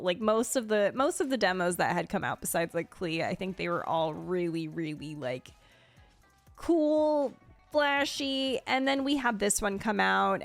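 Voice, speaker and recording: A woman streamer talks casually and enthusiastically in a medium-pitched voice, gushing and informal with a giggly edge. She sounds close to the mic in a very dry space, with faint music underneath. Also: no room sound. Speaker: video host and streamer. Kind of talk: casual ranking and reaction commentary.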